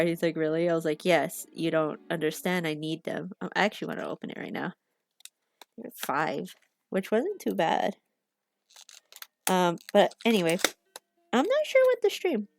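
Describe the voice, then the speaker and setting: A young woman chats casually into a headset mic at home, her voice low-set with a gravelly edge. She sounds a little sniffly from allergies and rambles with plenty of filler words. Faint music plays underneath. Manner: chatty, rambling, loses her train of thought with many fillers. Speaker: art streamer. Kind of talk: casual rambling livestream chat.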